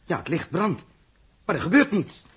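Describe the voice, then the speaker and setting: An actor playing a brisk, decisive male spaceship captain in a 1960s radio drama, urgent, clipped and commanding. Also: fairly high pitch, wildly swooping pitch. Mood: tense urgency. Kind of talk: 1960s Dutch radio science-fiction acting.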